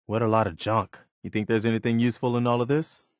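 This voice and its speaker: A man's voice: mid-range voice, little pitch movement, fast, no echo, studio quality, lightly acted. A man voicing an earnest, hopeful militiaman companion in a post-apocalyptic video game, speaking in a plain, wistful and wary manner. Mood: wary, watchful.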